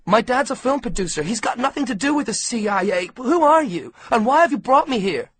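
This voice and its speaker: A man voicing a frightened but defiant fourteen-year-old boy, pitched high and loud, with a husky voice. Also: animated, a little fast, dry recording, exaggerated voice. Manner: high, strained and pleading.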